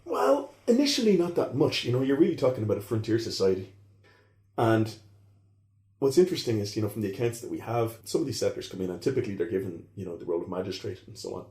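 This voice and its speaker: A man's voice: lowish voice, big pitch swings, quick pace, dark tone, reverberant. A man, a historian talking casually on a podcast in a rambling way, full of fillers and restarts. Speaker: historian guest.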